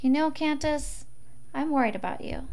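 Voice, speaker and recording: A woman's voice: high voice, normal speed, darkish tone. A woman voicing a worried, nagging mother in a visual novel, chiding and concerned.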